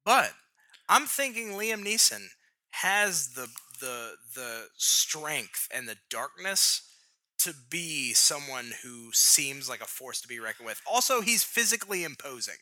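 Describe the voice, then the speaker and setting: An excitable man co-hosting a podcast, talking with emphatic enthusiasm. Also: on the high side, measured pace, dry recording, rough recording. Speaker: podcast co-host. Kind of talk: casual podcast chat.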